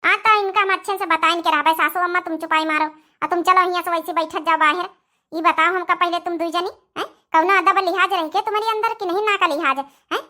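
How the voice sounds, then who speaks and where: A woman's voice: extremely high pitch, steady tone, fairly quick, bright, forceful tone. A woman voicing a bossy eldest daughter-in-law in a comedy cartoon, scolding and commanding with an Awadhi accent. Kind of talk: comedy cartoon family quarrel.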